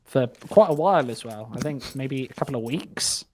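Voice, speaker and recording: A man's voice: mid-range voice, animated, moderate pace, a little hiss or hum. A man doing live play-by-play esports commentary, joking and wry in his banter. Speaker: esports caster.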